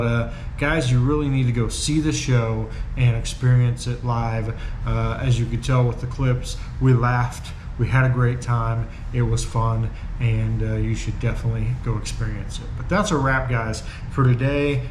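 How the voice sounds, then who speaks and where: A man's voice: mid-range voice, roomy sound, noisy background. A stuffed-up man hosting his vlog, signing off for the night in a tired, rambling way. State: stuffed up, congested. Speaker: vlogger host. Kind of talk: casual end-of-day sign-off.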